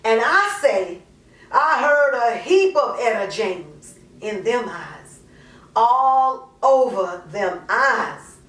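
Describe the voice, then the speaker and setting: A woman performing a dramatic monologue as an anguished, guilt-ridden mother pleading to God, impassioned and drawn-out. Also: a little high, sing-song, a bit bright, exaggerated voice. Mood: mournful, bluesy. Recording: roomy echo, distant microphone.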